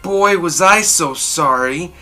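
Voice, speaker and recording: A woman reading a children's story aloud in an expressive, dramatic and deliberate narrating style. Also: on the low side, faint music behind. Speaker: storybook reader.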